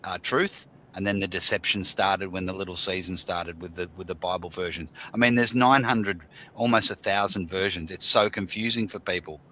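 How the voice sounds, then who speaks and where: Low, forceful-toned man, explanatory panelist on a call. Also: steady tone, normal speed, hardly any pauses, a little hiss or hum. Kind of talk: casual panel discussion.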